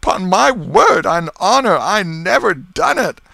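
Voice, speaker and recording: A man's voice: sits high, sing-song, slow, gravelly, pushed and bright, no room sound, voice pushed up, heavily acted. A man voicing a frightened, sobbing small-town drunkard accused of murder in a storybook, pleading and wailing in broken rustic speech. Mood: sobbing denial.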